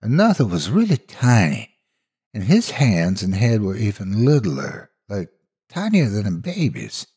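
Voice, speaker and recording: A frightened young girl from the country , acted out by a man, speaks in a husky voice. She is earnest and tense, telling of something that haunts her in a dramatic horror tale. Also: fairly low pitch, wildly swooping pitch, unhurried, dark tone, heavily acted. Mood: haunted. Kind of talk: dramatic horror story dialogue.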